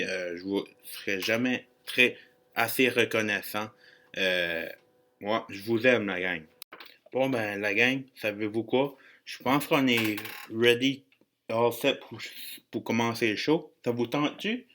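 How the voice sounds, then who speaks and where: A man's voice: on the low side, a bit bright. A man with an Acadian French accent hosting a solo podcast in an informal, joking, rambling way with bits of English slang. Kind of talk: casual podcast monologue.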